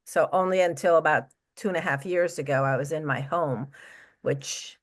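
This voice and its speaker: A woman's voice: deep voice, normal speed. A middle-aged woman chatting casually as an interviewer, reacting readily to what her guest says. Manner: reactive, frequent interjections and chuckles. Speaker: interviewer, fellow artist.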